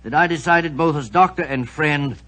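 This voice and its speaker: A genial old doctor. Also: male voice, fairly high pitch, moderate pace. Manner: warm, avuncular storyteller. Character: genial elderly male doctor narrating. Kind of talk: 1940s radio acting.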